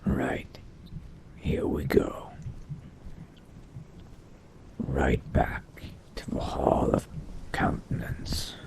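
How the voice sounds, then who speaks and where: A man voicing a brooding, studious vampire mage in a video game roleplay, musing quietly to himself in a whispery, gravelly voice. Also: extremely low pitch, monotone, exaggerated voice. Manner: slow, measured, musing to himself. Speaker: roleplay gamer. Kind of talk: in-character game roleplay narration.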